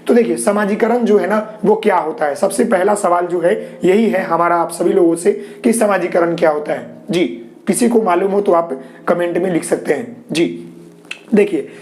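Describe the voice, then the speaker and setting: Man, Bihari Hindi accent, sore throat, high-pitched, live online class teacher, repetitive fillers, reassuring. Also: moderate pace, darkish tone. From Bihar, India. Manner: repetitive fillers, frequent "ji" and "okay"; reassuring, tells viewers not to worry.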